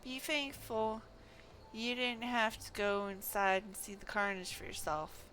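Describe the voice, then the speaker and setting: A woman's voice: a little high, unhurried, bright, forceful tone, slight background noise. A woman voicing a grumbling fantasy game shopkeeper, with rustic, colloquial speech and dropped consonants. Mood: grumbling, weary.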